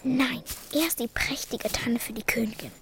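A woman's voice: fairly high pitch, moderate pace, noisy background, lightly acted. A woman playing a cheeky, bossy orphan girl with wistful moments in a children's radio drama, speaking in a pert, colloquial way. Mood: stubborn, troubled.

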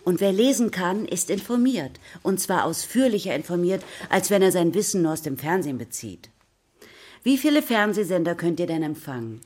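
A woman playing a patient, encouraging primary school teacher in a German children's radio play, with a husky voice and a questioning, instructive way of addressing her class. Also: lowish voice, expressive, normal speed, darkish tone. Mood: inquiring, instructive.

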